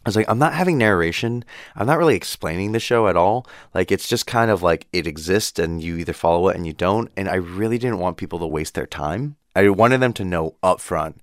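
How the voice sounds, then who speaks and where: Dude, deep smooth voice, super casual podcast interview chat. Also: male voice, dry recording, clean studio sound. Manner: chatty, informal, heavy use of like. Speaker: podcast producer being interviewed.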